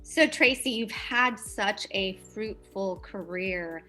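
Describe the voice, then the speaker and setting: A woman's voice: medium pitch, slow, pushed and bright, faint music behind, rough recording. A woman hosting a podcast interview, upbeat and reading prepared introductions and questions. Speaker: podcast host.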